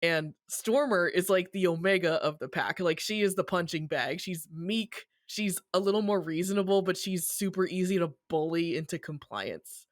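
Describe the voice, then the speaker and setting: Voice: mid-range voice, normal speed, hardly any pauses, dry recording, studio quality. A podcast co-host giving a casual, colloquial story recap peppered with swearing.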